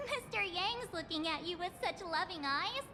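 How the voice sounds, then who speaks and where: A woman's voice: very high voice, animated, moderate pace, pushed and bright, slight background noise, full character voice. A woman voicing a cheerful, teasing young girl companion in a video game. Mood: playful. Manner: chirpy and teasing. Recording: game audio with long reverb.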